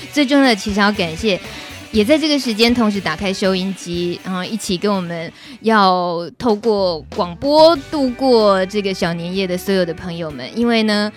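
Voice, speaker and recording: Taiwanese lady radio host, casual and teasing, chuckles a lot, bit of music behind. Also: mid-range voice. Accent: Taiwanese Mandarin. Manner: playful, teasing, often chuckling.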